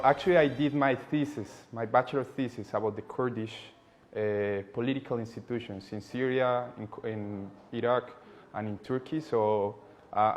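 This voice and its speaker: A man's voice: fairly low pitch, slight background noise. A man in his twenties from Colombia, a political scientist and activist, answering interview questions thoughtfully, often restarting his sentences. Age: 20s.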